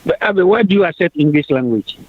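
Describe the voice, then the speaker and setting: Radio host pushing a guest with hard questions, bit high voice, tinny dry sound. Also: male voice, animated, a little fast, husky, no room sound. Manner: probing, challenging questions. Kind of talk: radio panel discussion.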